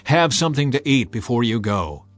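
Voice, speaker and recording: A man's voice: medium pitch, big pitch swings, moderate pace, close to an everyday voice. A man voicing a hospitable older father-in-law, coaxing and insistent, in a dramatized scripture reading. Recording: music bed under the voice.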